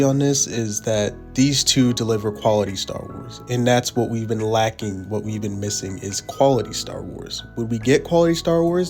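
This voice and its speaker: A man with a hoarse voice from being sick, giving heated opinion commentary over a video, repeating himself for emphasis. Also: medium pitch, a little mellow. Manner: pleading, repetitive emphasis.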